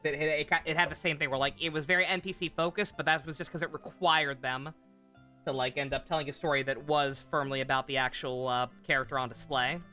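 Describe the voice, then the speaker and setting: A man's voice: fairly high pitch, fairly even intonation, a little fast, sharp tone, faint music behind. A man giving rambling, enthusiastic commentary as a casual game streamer.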